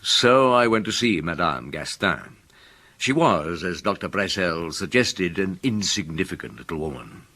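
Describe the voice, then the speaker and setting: A detective narrating his old case to a friend in a radio play. The voice is deep and husky with an animated contour. He tells it wryly, with relish. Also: a little slow, exaggerated voice. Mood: wry, reminiscing. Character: reminiscing male detective recounting a case to a friend.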